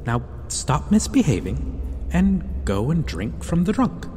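A man playing a possessive, seductive vampire in an ASMR roleplay, sighing and speaking with condescending, mocking tenderness and quiet menace. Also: fairly high pitch, sing-song. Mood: stern, threatening. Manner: slow, sighing, purring endearments, mocking tenderness. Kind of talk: ASMR roleplay, theatrical intimate acting. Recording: long reverb, clear ambient background noise.

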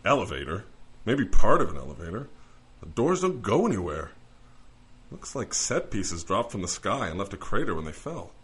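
A man's voice: fairly low pitch, very animated, normal speed, fairly bright tone, slightly rough, heavily acted. A man playing a bewildered, polite dead lawyer newly arrived in the afterlife, hesitant and puzzled, in a fantasy comedy audio drama. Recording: music under the voice.

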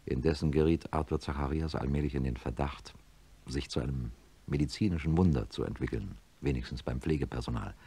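An actor playing a reflective professor who narrates a radio play in the first person, soberly, in a deep, husky voice. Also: male voice, fairly quick, dark tone. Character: reflective male professor recounting his patient's story.

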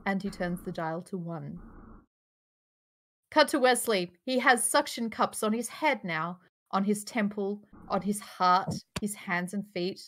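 A woman narrates a screenplay in a live read-through on a group video call. Her voice sits at an average pitch as she sets each scene and describes the action. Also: measured pace, a bit bright. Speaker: narrator reading screenplay directions. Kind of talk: live table-read narration of stage directions.

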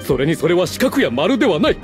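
An actor playing a proud, scheming aristocrat in an anime video game, speaking in a haughty, theatrical, declaiming way. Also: male voice, high voice, very animated, fast talker. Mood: indignant. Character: pompous scheming aristocrat, proud adult man. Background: game music.

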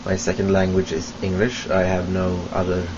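A young Swedish man with a Swedish accent talks slowly and haltingly into a close webcam, stopping and restarting his sentences. His voice is very deep and flat. The home recording is muffled and lo-fi, with a clear steady hiss. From Sweden. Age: young adult. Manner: hesitant, many ums and restarts. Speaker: vlogger. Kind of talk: casual self-introduction to webcam. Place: small room indoors at home. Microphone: webcam at close range. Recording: dull lo-fi webcam audio with hiss.

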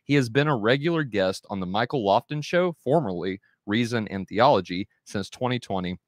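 A man's voice: on the low side, animated, fairly quick, dry recording, clean studio sound. A man in his thirties hosting and moderating a debate on a video call, upbeat and folksy. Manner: upbeat, folksy, says y'all. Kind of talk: casual show hosting. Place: home room with bookshelves. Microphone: headset and desk mic on video call.

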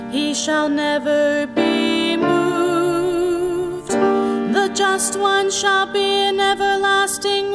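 A young woman singing a responsorial psalm as cantor at a lectern in a large church, in sustained, melodic chanting. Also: very high-pitched. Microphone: lectern microphone.

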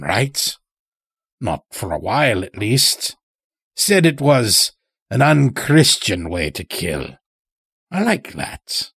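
A man's voice: mid-range voice, a bit bright, husky, no room sound, studio quality, full character voice. A man voicing a folksy, taunting old elder in an audio story, chatty and needling with rustic phrasing. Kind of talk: dramatic audio story character.

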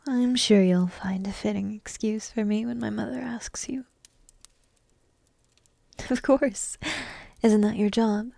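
A woman voicing a petite, playful, secretly affectionate dragon princess in a soft, breathy ASMR roleplay, teasing slowly and often slipping into whispers close to the mic. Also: medium pitch, steady tone, dry recording. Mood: languid, coy. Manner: slow, teasing, intimate delivery with pauses. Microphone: close microphone in a dry room.

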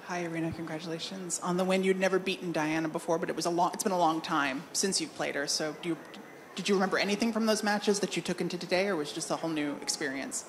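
Quick-talking woman with a lowish voice. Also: slight background noise. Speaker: journalist asking questions. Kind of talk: informal press conference questions. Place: press conference room indoors.

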